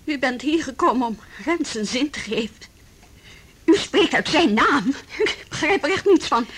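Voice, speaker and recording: A woman's voice: sits high, animated, normal speed, a bit bright. An actress playing a proud, defiant young policewoman in a Dutch radio play, indignant and pointed yet formally polite.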